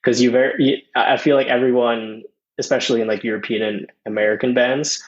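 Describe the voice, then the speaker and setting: A man's voice: medium pitch, fairly even intonation, quick pace, highs cut off. A young man who grew up in Thailand, chatting casually as a guest musician, with lots of "dude" and "man". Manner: laid-back, many fillers like "dude" and "man". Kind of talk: casual interview chat.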